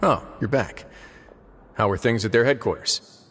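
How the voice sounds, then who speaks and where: An actor playing a composed, wry duke in a video game, speaking in a measured, dry, gently teasing way. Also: male voice, mid-range voice, slight background noise, natural voice. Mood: calm. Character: composed, wry adult duke who commands guards. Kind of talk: video game character acting. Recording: music behind the voice. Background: game music.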